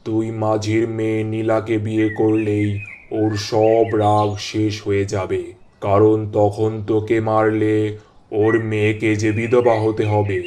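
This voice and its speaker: Man as a deep, mellow cartoon holy man. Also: monotone, unhurried, a little hiss or hum, close to an everyday voice. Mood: knowing, commanding. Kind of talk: cartoon voice acting.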